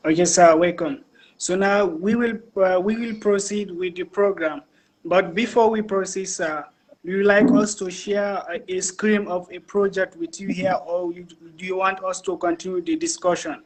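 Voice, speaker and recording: A man's voice: sits high, fairly even intonation, moderate pace, a little hiss or hum, rough recording. A man hosting a live online discussion, speaking deferentially to his guest with brief affirmations. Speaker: host.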